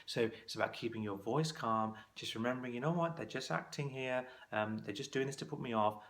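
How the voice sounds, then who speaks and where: A man's voice: mid-range voice, a little fast, a bit bright. A man teaching as a clinical exam course tutor, giving practical coaching tips in a conversational instructional talk to camera.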